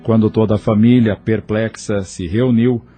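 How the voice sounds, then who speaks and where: A man's voice: lowish voice, steady tone, moderate pace, warm tone. A man narrating an audio novel in a scripted, dramatic storytelling style. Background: faint music.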